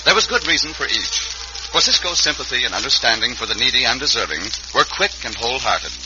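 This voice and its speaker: A man's voice: mid-range voice, big pitch swings, quick pace, dull audio, noisy background. A man narrating an old radio adventure in a dramatic, declamatory announcer style. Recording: vintage radio broadcast over loud music.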